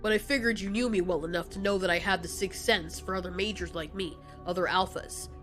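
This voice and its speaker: A woman voicing a callous male giant reptilian monster in an audio drama, deadpan, dismissive and sarcastic. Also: on the low side, a little fast, faint music behind, lightly acted. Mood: matter-of-fact. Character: cocky, callous male giant reptilian kaiju, coolly menacing.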